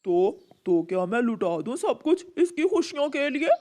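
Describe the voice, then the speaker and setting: A woman voicing a grumpy, tight-fisted crow father in a cartoon, gruff and dismissive. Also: fairly high pitch, very animated, moderate pace, warm tone. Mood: annoyed, angry. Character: stingy, short-tempered crow father.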